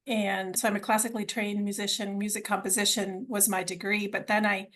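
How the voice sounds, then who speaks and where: A woman's voice: mid-range voice, level tone, fairly quick, a bit bright. A middle-aged woman originally from Argentina, telling her story as a podcast guest in an enthusiastic, conversational way, often repeating words as she restarts.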